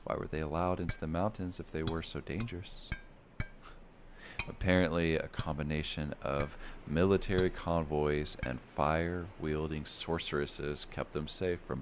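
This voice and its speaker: Male storyteller, bottom-of-the-range pitch, measured dramatic fantasy narration for bedtime listening, slight noise behind. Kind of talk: first-person bedtime fantasy story reading.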